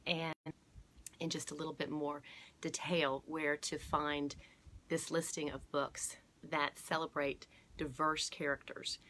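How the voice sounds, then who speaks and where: A woman hosting a children's storytime, reading aloud and pausing to explain and point things out to her listeners. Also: deep voice, fairly bright tone, a little hiss or hum. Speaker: storytime host at a museum garden. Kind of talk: read-aloud storytime for children with chatty asides.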